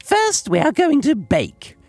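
A man's voice: extremely high pitch, wildly swooping pitch, slow, dry recording, faint music behind, pitched above the performer's own voice, exaggerated voice. A man voicing a cruel, greedy, impatient old witch woman who scolds and gives orders in a storybook tale. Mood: sly, impatient. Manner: scolding, commanding.

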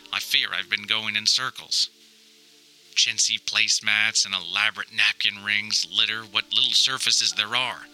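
A man's voice: on the low side, pushed and bright, rough recording. A man playing an anxious, wry grown son recording a wordy, mock-dramatic audio diary. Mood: dramatic despair. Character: anxious, wry grown son lost in hoarder mother's house. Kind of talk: scripted comic audio diary monologue.

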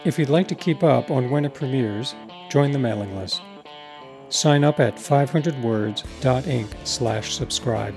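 Male podcast presenter, mid-pitched voice, scripted closing credits, plucked guitar music underneath. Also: unhurried. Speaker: writer, producer and presenter.

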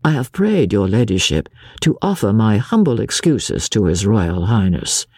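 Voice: mid-range voice, sing-song, unhurried, dark tone, breathy edge, no echo, studio quality, pitched below the performer's own voice, full character voice. A reader voicing a cold, aloof aristocratic husband who speaks in a formal, drawling manner. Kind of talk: audiobook character voice.

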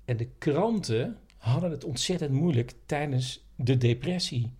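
A man's voice: mid-range voice, unhurried, darkish tone, slightly rough. A man co-hosting a podcast, telling stories and explaining things in a casual, conversational way. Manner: explanatory, anecdotal. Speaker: podcast co-host.

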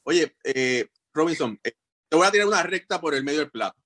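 A man's voice: fairly high pitch, lively intonation, a little fast, a bit bright. A man hosting a sports podcast, asking interview questions in a friendly, chatty way with casual fillers.

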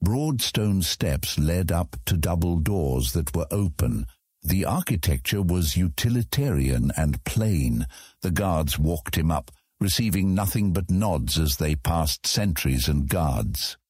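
A man's voice: low-pitched, lively intonation, takes it slowly, darkish tone, breathy edge, no room sound, studio quality. A man reading scripted fantasy narration as an audiobook narrator.

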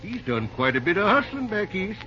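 A folksy old railroad boss. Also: male voice, high voice, big pitch swings, moderate pace, pushed and bright, heavily acted. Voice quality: rural drawl, says ain't and reckon. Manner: chatty, easygoing, repeats words. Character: folksy older male railroad manager, fatherly. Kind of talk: 1930s radio acting. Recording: dull old radio transcription with faint hiss.

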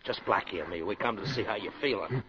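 A timid gangster's sidekick in an old radio play, low yet sharp, with wide swings of pitch. He sounds anxious and hesitant on a muffled broadcast. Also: expressive, quick pace, a little hiss or hum. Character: nervous gangster's henchman, timid and pleading. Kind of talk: 1950s radio drama acting.

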